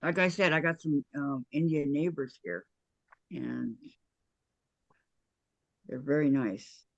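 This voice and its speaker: A man co-hosting a quilting show, casually reading viewer comments aloud and answering in short, relaxed remarks. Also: on the high side, slow, creaky, dry recording, lo-fi sound.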